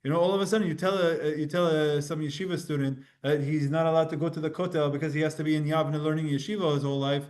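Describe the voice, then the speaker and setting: Animated high-voiced man teaching Talmud. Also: fast talker, few pauses. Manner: animated, acts out quotes with sudden jumps in pitch and loudness; mixes Hebrew and Aramaic terms into casual English. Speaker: Torah teacher. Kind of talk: lively Talmud class lecture.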